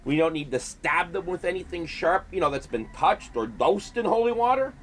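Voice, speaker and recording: An actor playing a brash, foul-mouthed young monster hunter in a comedy horror audio drama, streetwise and exasperated. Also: male voice, high voice, expressive, moderate pace, fairly bright tone.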